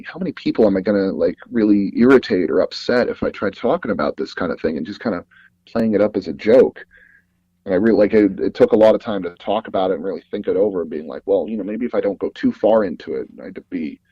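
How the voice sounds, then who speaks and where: A man being interviewed as a guest, telling personal anecdotes in a rambling, halting way and often circling back to rephrase. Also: fairly low pitch, warm tone, husky, dry recording, highs cut off. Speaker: guest audio drama producer and actor.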